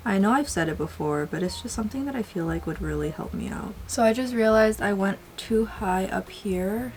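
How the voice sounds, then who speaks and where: A woman chatting casually through a makeup tutorial, rambling with lots of fillers and sounding slightly hoarse. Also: medium pitch, slight background noise. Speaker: beauty vlogger.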